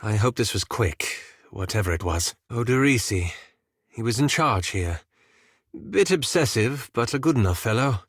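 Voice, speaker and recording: Scholarly male elf, fantasy game companion, courteous, anxious, precise diction, animated intonation, dry recording. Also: medium pitch, moderate pace, slightly rough, dry recording. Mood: concerned. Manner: formal, precise enunciation, wry and wary. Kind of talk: fantasy video game character dialogue.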